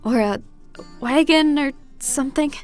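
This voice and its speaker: A woman's voice: high-pitched, a little slow, soft background music. A woman in a fan dub playing a polite, reserved young court counselor with an understated, wry manner. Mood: polite, hesitant.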